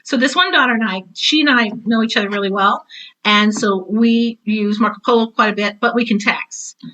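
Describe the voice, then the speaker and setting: Woman, 60s, interview guest. Bright, higher-pitched voice, casual and opinionated delivery. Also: normal speed, poor audio. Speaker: guest, retired teacher and author.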